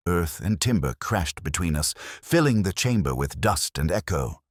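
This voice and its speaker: A man narrating a dramatic mystery story in a measured, literary manner. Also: low-pitched, sing-song, no room sound, studio quality. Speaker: audiobook narrator.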